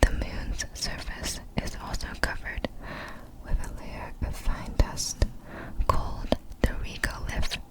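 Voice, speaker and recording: A woman narrates facts in ASMR style, entirely in a breathy whisper. She goes very slowly and deliberately, leaving gentle pauses between short phrases. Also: low-quality recording. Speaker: ASMR creator.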